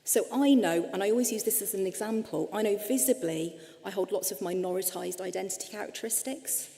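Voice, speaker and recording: A woman's voice: mid-range voice, brisk, gravelly, warm tone. A middle-aged woman with an English accent giving an earnest, explanatory presentation as a clinician, speaking directly to her audience. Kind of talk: lecture-style presentation with slides. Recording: long hall reverb.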